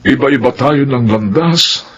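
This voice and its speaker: A man's voice: medium pitch, takes it slowly, dull audio, a little hiss or hum, close to an everyday voice. A man acting an affable middle-aged Filipino father of a well-off household in a radio drama. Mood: reassuring.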